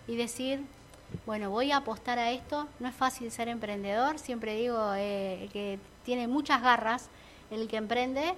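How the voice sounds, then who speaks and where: A woman's voice: on the high side, a bit bright, a little hiss or hum. A woman with an Argentine Spanish accent, an entrepreneur chatting casually on a talk show, reflective and full of fillers and restarts. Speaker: entrepreneur guest. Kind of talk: casual radio chat.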